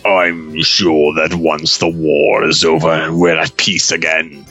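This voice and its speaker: A man voicing a caring, sly young woman with a slow, deliberate delivery for a story reading. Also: a bass voice, flat delivery, pushed and bright, faint music behind, voice pushed down, full character voice. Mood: sly, teasing.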